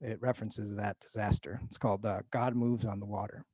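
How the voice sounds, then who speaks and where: An enthusiastic man, a guest record collector, talking casually and haltingly about old music records. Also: on the low side, fairly even intonation, a little mellow, breathy edge, no room sound.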